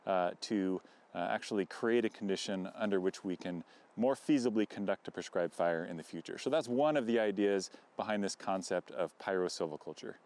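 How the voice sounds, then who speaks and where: A man's voice: fairly low pitch, a little mellow. A middle-aged American man, a researcher explaining concepts to camera outdoors. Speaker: forest researcher. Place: outdoors in a forest.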